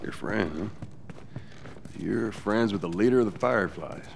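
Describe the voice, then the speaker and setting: Gruff middle-aged smuggler, super deep gravelly voice, short dry sarcastic lines. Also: male voice, a little slow, background noise. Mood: curt.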